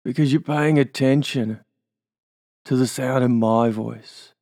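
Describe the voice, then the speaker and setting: A man's voice: mid-range voice, steady tone, slow, warm tone, no room sound, clean studio sound. A man with an Australian accent leading a guided hypnosis induction, giving soothing suggestions and tag questions.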